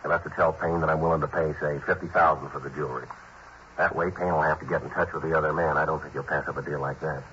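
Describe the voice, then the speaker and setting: A deadpan police sergeant with a very deep voice, pushing through questions quickly in clipped, flat lines. The vintage radio recording is dull with a faint hiss. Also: male voice. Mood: planning. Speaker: police detective. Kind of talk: 1950s radio crime drama acting.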